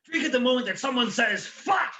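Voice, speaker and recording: A man acts out a bumbling German storyteller, eager and a little tipsy, for a comic stage show. The voice is high and lively, stammering apologetically, with room echo around it. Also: brisk, crowd noise. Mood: playful, hesitant. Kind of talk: comedic stage patter and rhymed storytelling. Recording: roomy, echoey home recording.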